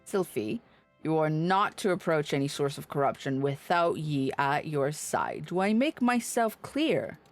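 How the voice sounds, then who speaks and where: A woman's voice: low voice, very animated, a little slow, soft background music, voice pushed down, heavily acted. A woman voicing a stern, formal guildmaster in a fantasy game, speaking solemnly in archaic phrasing. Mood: solemn, admonishing. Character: stern, formal guildmaster of healers, lecturing a pupil.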